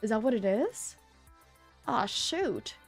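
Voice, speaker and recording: A woman's voice: a little high, sing-song, slow pace, husky, faint music behind. A woman livestreamer chatting casually with her viewers in a bubbly, chatty manner. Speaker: livestreamer, virtual streamer host.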